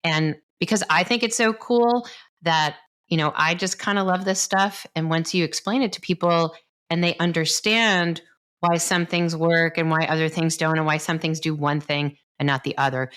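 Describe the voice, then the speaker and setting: A woman's voice: deep voice, normal speed, a bit bright, smooth. A woman in her fifties, a doctor, talking casually and enthusiastically, explaining science plainly and laughing often. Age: 50s. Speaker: doctor, podcast host. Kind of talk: casual conversation.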